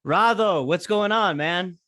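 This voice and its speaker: Man, podcast host, casual interview chat, laid-back, slightly high pitch. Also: slow pace, bright, forceful tone, no room sound, soft background music. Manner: laid-back, often tags sentences with "man".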